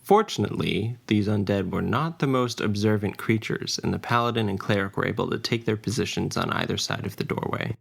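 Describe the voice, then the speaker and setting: A man's voice: fairly low pitch, brisk, gravelly, hardly any pauses, husky. A man narrating a scripted fantasy adventure story as its dungeon master and storyteller. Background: faint ambience and music.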